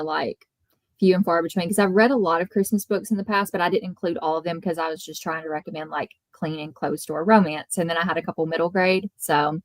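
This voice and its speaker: A woman's voice: on the low side, no room sound. A woman chatting casually about books as a guest creator, informal and conversational. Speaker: guest book video creator.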